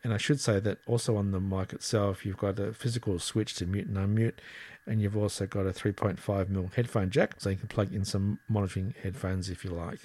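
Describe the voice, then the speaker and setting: A man's voice: lowish voice, steady tone, a little fast, a little mellow. A man casually demoing a broadcast headset against a desktop mic, walking through each part step by step and speaking through both mics in turn. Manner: plain, methodical, explaining step by step. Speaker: gear reviewer. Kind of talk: casual product demo. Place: room with air conditioner running. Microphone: headset boom mic, then desktop dynamic mic.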